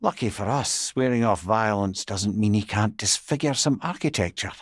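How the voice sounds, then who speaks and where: A man's voice: on the low side, expressive, moderate pace, breathy edge, no echo, clean studio sound. An actor playing a wise, witty old Scottish man, an avuncular storytelling companion in a video game, speaking in an articulate, wry way. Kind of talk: scripted video game voice lines.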